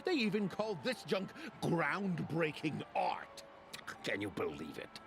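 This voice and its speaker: A man's voice: fairly high pitch, wildly swooping pitch, slow pace, creaky, background noise, full character voice. A man voicing a cynical moviegoer who scoffs and dismisses everything, in a game with music behind him. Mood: scoffing. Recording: game audio over loud music.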